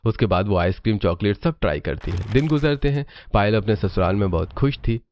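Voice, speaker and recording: A man's voice: on the low side, lively intonation, brisk, dull audio, slight background noise. A man narrating a scripted family cartoon story.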